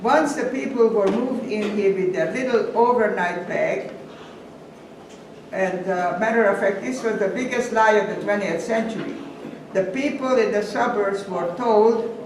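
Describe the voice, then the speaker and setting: An older woman from Hungary with a Hungarian accent, giving a measured first-person testimony talk to students and pausing to restart phrases. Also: medium pitch. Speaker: guest speaker. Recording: echoey hall, distant mic, faint hiss.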